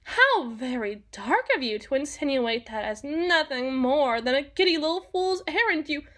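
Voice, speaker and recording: A woman playing a haughty, sharp-tongued young empress in a dramatic audio roleplay, imperious and theatrical, with formal old-fashioned diction. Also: high voice, expressive, moderate pace. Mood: scornful.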